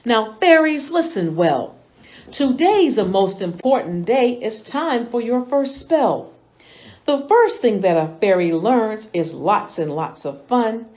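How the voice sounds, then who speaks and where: A woman's voice: fairly high pitch, sing-song, takes it slowly, darkish tone, poor audio. A woman voicing a female fairy schoolteacher in a storybook, giving instructions in a cheerful, encouraging manner. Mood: cheerful instructing. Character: kindly but exacting female fairy schoolteacher.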